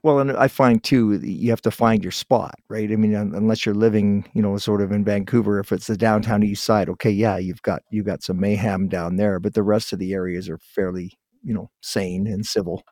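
A man hosts a casual interview podcast, friendly and chatty, often restarting his sentences. His voice sits on the lower side. The sound is dry and close, with no room around it. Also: steady tone, brisk, few pauses, darkish tone, no echo. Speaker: podcast host.

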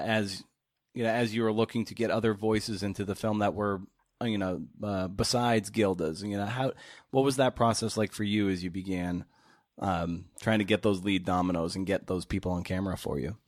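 A man with a fairly low voice interviews a guest in a conversational style. He pauses and rephrases as he builds each question. The recording is dry, with no room sound around him. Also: dry recording. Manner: halting, searching for phrasing. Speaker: podcast host, interviewer.

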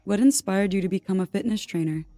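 A woman's voice: mid-range voice, quick pace, warm tone, no room sound, soft background music. A woman hosting a podcast, introducing her guest and asking questions in an upbeat, encouraging way. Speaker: podcast host.

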